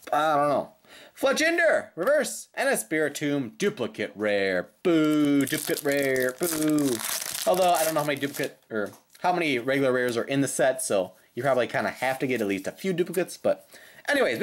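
A woman with a slightly raspy voice doing casual, playful unboxing commentary with sing-song call-outs as a host. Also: low voice. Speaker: host of trading card pack openings. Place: indoors at a tabletop.

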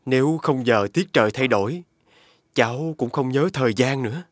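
An actor playing a polite, poetic, homesick young scholar in an animated fairy tale. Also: male voice, medium pitch, moderate pace, no echo, clean studio sound, natural voice. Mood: reflective. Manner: polite, formal.

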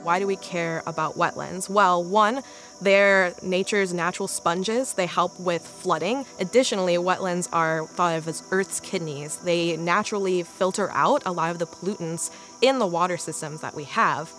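An American woman from northern Illinois explains things as a researcher in a documentary interview. Her voice is on the low side, with soft music playing behind it. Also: moderate pace, low-quality recording. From northern Illinois, United States. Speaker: conservation researcher. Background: music, insects.

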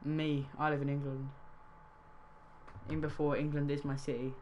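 A man's voice: on the high side, flat delivery, normal speed, a little mellow, slight background noise. A young man from Wales streaming a game trading session, chatting casually and reacting to his live chat.

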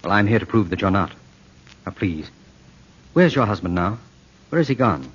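Assured, insistent detective for vintage radio drama. Lowish husky voice, muffled sound. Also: male voice, big pitch swings, normal speed. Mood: earnest, insistent. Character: calm, determined, commanding male detective. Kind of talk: 1940s radio drama acting. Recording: dull old radio recording.

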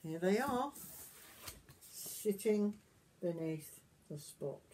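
A woman in her sixties reads a picture book aloud as a granny would to young children, adding gentle questions and asides to them. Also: mid-range voice, animated, very slow, very noisy. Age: 60s. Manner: gentle questioning, addressing young listeners directly. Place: small wood-panelled garden room, indoors.

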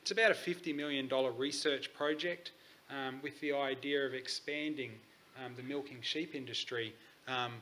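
A man's voice: mid-range voice, fairly even intonation, moderate pace, pushed and bright, a little hiss or hum. An Australian man giving a conference presentation, talking in a hesitant way with frequent ums. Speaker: conference speaker. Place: conference hall.

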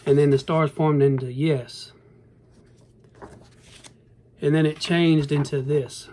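A man's voice: fairly high pitch, fairly even intonation, normal speed, warm tone, slight background noise. Earnest rambling man, phone vlog. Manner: rambling, earnest, folksy, addresses viewers as y'all. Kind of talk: casual talk to camera. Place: indoor bedroom. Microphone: phone camera at arm's length.

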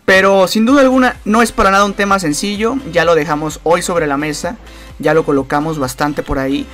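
A man hosts a football news channel in a rambling, filler-heavy way. His voice sits a little high for a man. Faint music plays under his voice-over. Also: fairly quick, a bit bright. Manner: rambling, many fillers and repeated phrases. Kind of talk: casual soccer news commentary.

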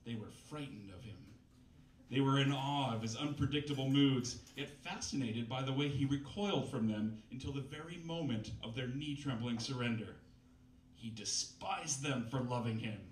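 A man's voice: mid-range voice, animated, normal speed. Guy in his 30s reading overwrought prose, mock serious, dry asides, picked up from far off in an echoey bistro. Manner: mock-dramatic reading, wry interjections. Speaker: reader at a comedy reading night. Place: small bistro stage with live audience. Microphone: stand mic, filmed from far camera. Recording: roomy, distant camera audio, faint noise.

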